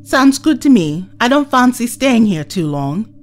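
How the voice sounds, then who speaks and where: An actress playing a feisty, sarcastic young travelling companion in an audio drama, cheeky and exclamatory. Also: female voice, on the high side, sing-song, unhurried, slight background noise. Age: young adult.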